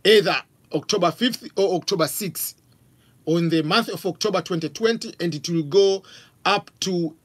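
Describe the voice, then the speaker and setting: A man in his forties from Tanzania with a Tanzanian accent, hosting a live question-and-answer stream from a home office on a studio mic, reading out viewer questions. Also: fairly high pitch. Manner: animated, gestures a lot, reads out questions. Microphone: studio mic on stand with pop filter.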